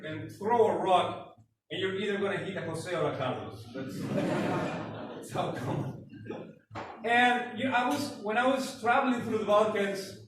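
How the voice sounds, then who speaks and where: A young Mexican man with a Mexican Spanish accent, doing a self-deprecating stand-up comedy set and acting out scenes. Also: high-pitched. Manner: self-deprecating, acts out scenes in put-on voices. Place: large room with live audience laughter. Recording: echoey hall from far off, room noise.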